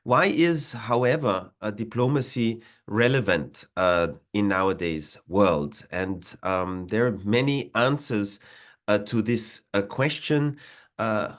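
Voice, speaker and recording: A man's voice: on the low side, takes it slowly. A man with an Austrian accent, a diplomat presenting over a video call, with frequent "uh" fillers and hesitations. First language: German. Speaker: diplomat giving a webinar talk.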